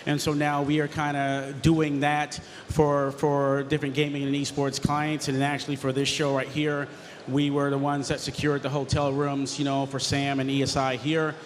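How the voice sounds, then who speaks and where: Chatty, monotone man on a panel. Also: mid-range voice, normal speed, a little hiss or hum. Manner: chatty, informal. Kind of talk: conference panel talk.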